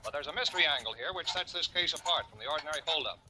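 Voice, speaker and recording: An actor playing an urgent radio newscaster, reading crime news in a 1940s style. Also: male voice, fairly high pitch, animated, very fast, sharp tone. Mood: urgent, matter-of-fact. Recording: noisy old radio recording.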